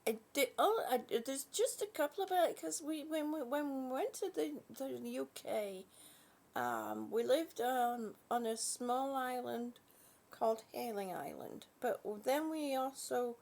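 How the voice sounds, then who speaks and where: A British woman hosting a casual live chat from her bed, greeting viewers warmly as honey and sweetie and sometimes dropping to a soft whisper. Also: high-pitched, creaky. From British, born in Singapore. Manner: slow, soft, trailing off into whispers. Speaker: livestream host chatting with viewers. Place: at home in bed.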